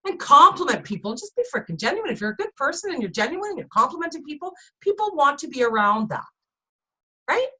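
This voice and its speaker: High, lively, animated woman motivating her team. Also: quick pace. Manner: emphatic, animated, keeps addressing listeners as "you guys". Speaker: sales team leader. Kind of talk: casual motivational team chat.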